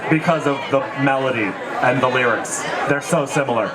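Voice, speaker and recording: A man's voice: medium pitch, brisk, recorded from a distance, very noisy. A man in a folk band on an outdoor festival stage, making dry wisecracks beside the lead singer. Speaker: band member. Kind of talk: stage banter. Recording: live outdoor show, off-mic, with loud band and crowd.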